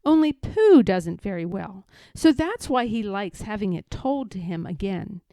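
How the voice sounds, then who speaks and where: A woman's voice: medium pitch, sing-song, measured pace, dark tone, no echo, clean studio sound. A woman voicing an innocent, inquisitive little boy in a children's storybook, sincere and simple in manner. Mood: curious, eager. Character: curious, innocent little boy who loves his bear.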